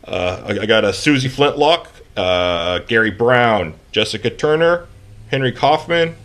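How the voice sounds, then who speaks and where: A man acts a folksy American driver in an audio drama, awkward and halting. His voice is medium-pitched for a man and his speech is plain and colloquial. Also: expressive, a bit bright.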